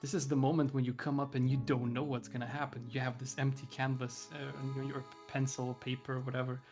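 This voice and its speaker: A man's voice: medium pitch, level tone, brisk, music in the background. A man hosting a stream, talking in a chatty, enthusiastic and rambling way. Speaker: streamer host. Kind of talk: casual online chat.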